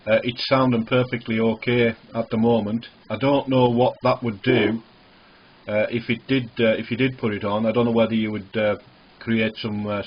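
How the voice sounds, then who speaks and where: Male amateur radio operator, casual and reassuring, slightly husky, dull lo-fi sound. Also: mid-range voice. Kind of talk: casual ham radio contact with call signs. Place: small indoor radio shack. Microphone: small camera near the radio's speaker. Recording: dull, muffled camcorder recording in a radio shack.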